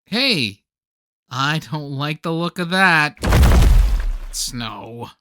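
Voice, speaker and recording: A man's voice: a little high, animated, drawn-out words, background noise. An actor in a comic radio play playing a stubborn, boastful young man with a grumbling, defensive, wry manner.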